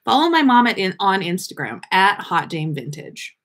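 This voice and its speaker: A woman hosting a livestream in a playful, expressive manner. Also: mid-range voice, moderate pace. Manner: playful, sweary, teasing, expressive. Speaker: podcast host reading fan fiction on a livestream. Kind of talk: casual livestream banter.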